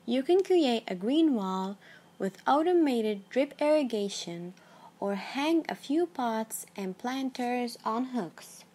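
A woman with a fairly high voice reads a scripted, numbered list. She takes it slowly and evenly, each item spoken with measured calm and no rush between points. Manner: measured, even read-aloud delivery. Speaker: narrator.

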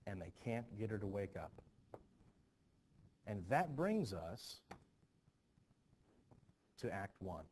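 A man teaching students about a play in an informal, animated storytelling manner. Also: on the low side, takes it slowly, long pauses. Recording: clearly noisy background.

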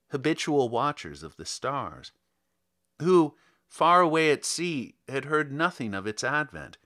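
Slow, dramatic male narrator with animated pitch. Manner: theatrical, deliberate, with drawn-out phrasing and pauses. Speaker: narrator reading a short story. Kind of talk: dramatic audiobook narration of literary fiction.

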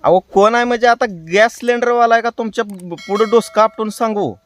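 A man's voice: sits high, steady tone, brisk, slight background noise. A man with a rural Maharashtra Marathi accent, hosting prank calls and chatting casually to his viewers with colloquial tag phrases. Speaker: prank call show host.

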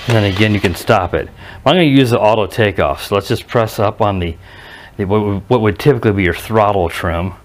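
A man giving a casual, unscripted hands-on review outdoors, speaking haltingly and often correcting himself. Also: fairly low pitch, background noise. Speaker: product reviewer. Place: outdoors in a residential driveway. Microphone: head-mounted action camera.

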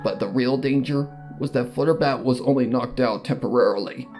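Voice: mid-range voice, brisk, darkish tone, natural voice. Actor as grieving cartoon mare, dramatic narration, anguished, bitter, music underneath. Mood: grim. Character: grieving, bitter cartoon pony mare, telling her tragedy. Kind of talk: dramatic first-person fan fiction reading.

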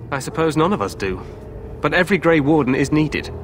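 A man's voice: on the high side, very animated, a little fast, fairly bright tone, slight background noise. An actor playing an eager, self-assured young warrior king in a fantasy game, regal and declamatory. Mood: commanding. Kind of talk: dramatic fantasy game acting.

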